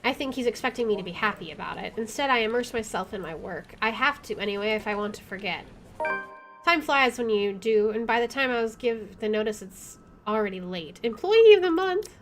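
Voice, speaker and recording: A woman's voice: a little high, animated, a little fast, soft background music. A woman doing playthrough commentary and reading game text aloud, with a playful, wisecracking manner. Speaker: video game let's player.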